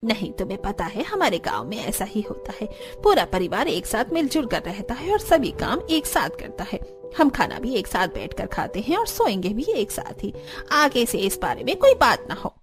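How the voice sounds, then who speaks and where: A woman's voice: on the high side, very animated, moderate pace, music in the background. A woman voicing an older, stubborn and traditional village mother-in-law in a cartoon, firm and insistent. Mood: stern.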